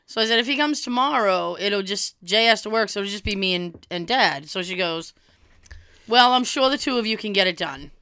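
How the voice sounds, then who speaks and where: Young woman, cold-hoarse, bright crisp voice, dry close sound, animated storyteller. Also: medium pitch, a little fast, no room sound. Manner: animated, swearing, acts out quoted dialogue. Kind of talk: casual storytelling chat.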